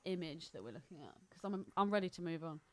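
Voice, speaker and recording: A woman's voice: deep voice, expressive, fast talker. A woman co-hosting a podcast, chatting casually about a film in a blunt, sceptical way. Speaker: podcast co-host.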